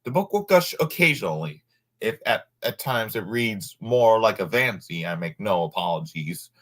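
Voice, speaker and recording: A man reading a nonfiction book aloud informally, sometimes halting over words and adding asides. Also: lowish voice, big pitch swings, fairly bright tone.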